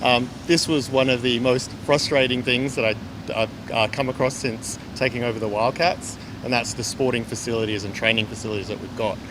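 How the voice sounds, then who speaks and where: Amid clear ambient noise, an Australian man speaks at a press conference. He has a mid-pitched voice and a halting delivery, as a club owner addressing the room. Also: fairly even intonation. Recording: very noisy outdoor-sounding ambience.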